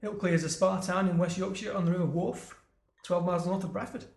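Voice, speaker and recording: Fast-talking man hosting a beer podcast. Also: high-pitched, fairly even intonation, vocal fry, slight background noise. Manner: chatty, reads out facts, jokey. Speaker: podcast host. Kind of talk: casual chat. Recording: echoey room.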